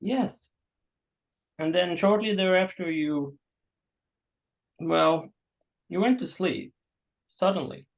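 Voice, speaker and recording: Man acting an awkward, gravelly, slow-talking host. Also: high voice, dull audio. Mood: evasive, careful. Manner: halting, awkward, understated. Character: awkward, apologetic man hosting a stranger. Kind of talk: staged play reading, naturalistic stage acting.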